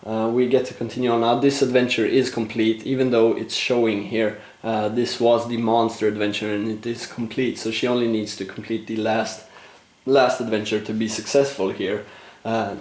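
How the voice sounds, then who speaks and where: A man narrating a board game play-through, explaining rules and thinking aloud with frequent fillers, his voice going slightly hoarse. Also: on the low side, flat delivery, normal speed, a little mellow, reverberant. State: losing his voice, clearing throat. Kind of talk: casual gameplay commentary.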